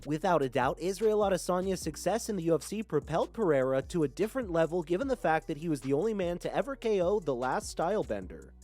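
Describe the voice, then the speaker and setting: A man narrating a scripted video in an even, read-aloud delivery. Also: a little high, fast, hardly any pauses. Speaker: channel narrator.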